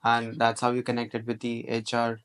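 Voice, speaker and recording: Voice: mid-range voice, completely flat delivery, moderate pace, clear, resonant tone. A podcast host asking questions and summing up what the guest has said, in an encouraging way. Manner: encouraging, recaps the guest's points. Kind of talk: interview questions.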